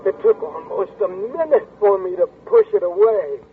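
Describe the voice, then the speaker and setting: Haunted young man, tense brooding narration, lively pitch, old 1940s radio drama, thin tinny sound. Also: normal speed, husky, no echo, full character voice. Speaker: narrator and lead character.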